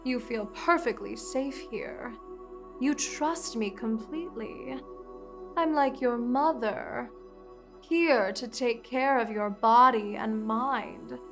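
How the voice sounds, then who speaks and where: A woman's voice: fairly high pitch, takes it slowly, a bit bright, soft background music. A woman voicing a commanding, seductive female vampire queen in an ASMR hypnosis roleplay, speaking in a soothing, controlling way. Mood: hypnotic.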